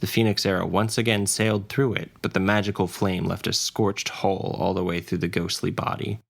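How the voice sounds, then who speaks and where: A man's voice: low-pitched, level tone, brisk, creaky. A man narrating a scripted fantasy adventure story as its dungeon master and storyteller. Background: faint ambience and music.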